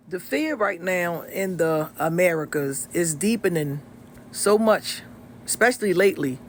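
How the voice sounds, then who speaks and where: High-pitched guy ranting slow and preachy into a dry close mic, vlog style. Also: no echo. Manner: preachy, quotes scripture, drawn-out asides. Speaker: vlogger. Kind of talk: casual commentary vlog.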